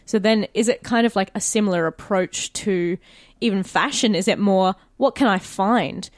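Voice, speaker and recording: A woman's voice: medium pitch, moderate pace, no echo. A woman with an Australian accent asking informal questions as a co-host in a casual podcast interview.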